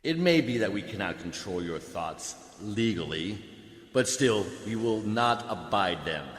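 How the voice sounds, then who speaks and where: A man's voice: on the low side, animated, unhurried. A man playing a stern, cold judge in a science fiction radio drama, speaking formally and with authority. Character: stern, cold male judge passing sentence. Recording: echoey hall with long reverb.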